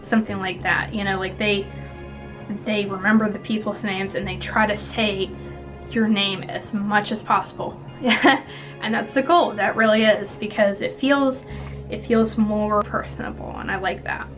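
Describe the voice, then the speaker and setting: Woman, twenties, mid-pitched, chatty rambling advice, vlog style, clear background music. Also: steady tone, normal speed, low-quality recording. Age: 20s. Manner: chatty, rambling, giggly asides. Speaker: vlogger giving interview tips. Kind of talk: casual advice to camera. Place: small indoor room at home.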